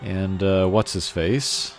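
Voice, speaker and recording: A man's voice: low-pitched, takes it slowly. A man casually commentating as he plays a video game, rambling and opinionated in an informal way. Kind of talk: casual let's-play commentary. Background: faint game music.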